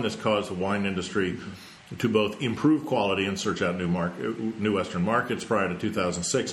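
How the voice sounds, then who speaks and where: A man's voice: low-pitched, a little hiss or hum. A man hosting a casual news roundup, reading items aloud and breaking off to joke about them. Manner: reads news items then riffs with jokes. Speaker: news podcast host. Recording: echoey room, faint ambience.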